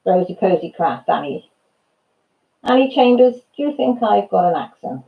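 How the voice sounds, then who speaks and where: Chatty Welsh woman in her fifties, gravelly. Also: mid-range voice, very animated, moderate pace, muffled recording. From Wales. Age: 50s. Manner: chatty, addresses viewers by name, laughs while telling stories. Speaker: channel host chatting with viewers. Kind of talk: casual live chat and storytelling.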